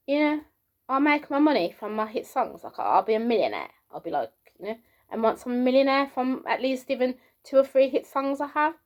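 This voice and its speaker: Soft girlish British woman, rambling. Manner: rambling, many fillers, pitch climbs as she gets going. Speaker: vlogger. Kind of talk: casual monologue to camera.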